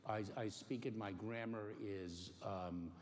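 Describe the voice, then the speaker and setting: A middle-aged man born in Cuba, giving careful, halting interview answers with many pauses and fillers. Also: fairly low pitch, fairly even intonation. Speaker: interview guest. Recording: faint noise in a long-reverb room.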